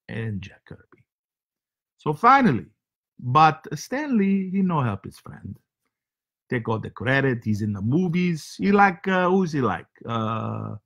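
A man's voice: a little high, pushed and bright, exaggerated voice. A man voicing a rambling foreign male show host who speaks broken English and keeps losing his words. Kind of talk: comedic character monologue.

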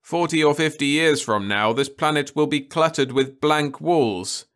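A man's voice: a little high, a little slow, a bit bright, pitched above the performer's own voice. A man voicing a stern, earnest middle-aged mother who speaks firmly and insistently. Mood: urgent. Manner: firm, insistent.

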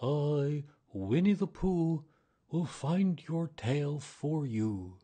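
A man's voice: mid-range voice, wildly swooping pitch, measured pace, mellow, breathy edge, voice pushed up, full character voice. A man voicing an earnest, kindly, simple male bear in a children's storybook, speaking in an emphatic, plain way. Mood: solemn, helpful. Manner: emphatic, solemn, plain-spoken. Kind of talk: children's storybook character reading.

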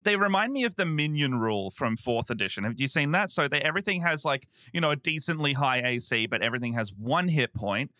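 A man's voice: medium pitch, expressive, fast, hardly any pauses, pushed and bright, no room sound, studio quality. An Australian-accented man chatting casually as a podcast guest. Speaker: podcast guest, game master. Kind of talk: casual chat.

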